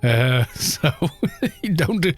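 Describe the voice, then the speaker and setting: A man's voice: on the high side, big pitch swings, drawn-out words, slight background noise. Casual, rambling male game streamer. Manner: rambling, chuckling self-deprecation. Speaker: game livestreamer. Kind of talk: casual livestream chat.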